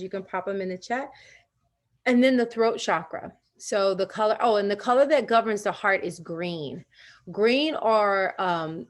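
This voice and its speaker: Chatty woman leading a wellness workshop. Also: mid-range voice. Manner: chatty and personal, laughs often, acts out quoted voices. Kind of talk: live virtual workshop teaching. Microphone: video call.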